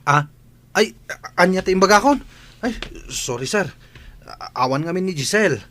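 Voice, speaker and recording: A man's voice: high voice, animated, normal speed, a bit bright, a little hiss or hum. A man playing a hesitant, apologetic servant in a radio drama, stammering and deferential to his master. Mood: hesitant, worried.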